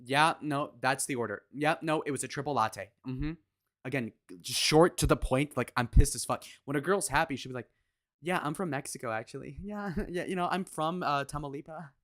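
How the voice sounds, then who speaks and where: A man's voice: fairly high pitch, brisk, fairly bright tone. A young man streaming live, reacting to clips with blunt, mocking, crude running commentary in a casual way.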